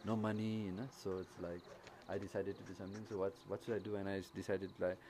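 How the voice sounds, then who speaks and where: A man from Nepal speaks English with a Nepali accent, his voice sitting a little low. He talks in a halting, casual way, full of restarts and fillers. Faint background noise sits behind him. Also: little pitch movement. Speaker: interviewee, paraglider. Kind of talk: casual conversation.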